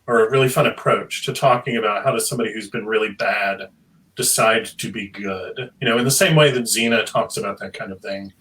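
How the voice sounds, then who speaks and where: Bright-voiced man talking slightly off mic. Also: fairly low pitch, brisk, far from the mic, low-quality recording. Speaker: panelist. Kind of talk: enthusiastic casual panel discussion.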